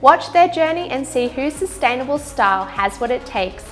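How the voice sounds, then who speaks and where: Bright-voiced woman narrating a promo. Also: high-pitched, lively intonation, normal speed, soft background music. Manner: polished promotional delivery. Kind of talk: scripted narration.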